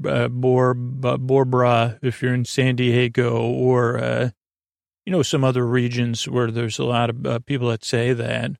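A man hosting a bedtime podcast, talking in a casual, meandering, halting way with goofy, reassuring asides and a gravelly voice. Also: medium pitch, a little mellow, no echo, studio quality. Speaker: podcast host.

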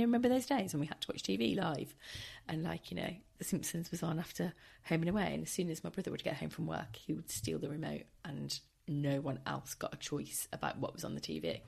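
A woman hosting a casual solo podcast, chatty and wry, with a tired, croaky voice, recording at home. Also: fairly low pitch, darkish tone. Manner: chatty, wry and self-deprecating. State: hoarse, tired. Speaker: podcast host.

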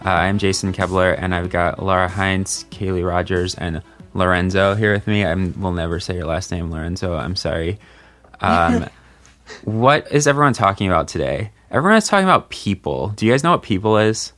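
A man's voice: low voice, lively intonation, moderate pace, fairly bright tone, soft background music. A man hosting a podcast, chatting casually and jokingly with many fillers. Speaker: podcast host. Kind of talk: casual group chat.

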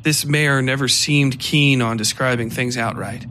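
Casting: male narrator for dark fiction, mid-pitched, measured and literary, with slight ambient noise underneath. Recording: faint ambient background noise.

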